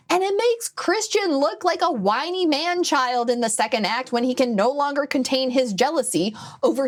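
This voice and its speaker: A woman giving opinionated commentary in a conversational video essay style, with animated phrasing. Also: high voice.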